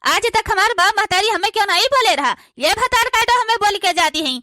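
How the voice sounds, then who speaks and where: Woman voicing a shrill, sharp-tongued cartoon village housewife. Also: lively intonation, fairly quick, no room sound, studio quality, exaggerated voice. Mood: resentful griping. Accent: Awadhi. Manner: complaining, scolding. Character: quarrelsome village housewife, sharp-tongued, self-pitying cartoon daughter-in-law. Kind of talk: cartoon comedy dialogue.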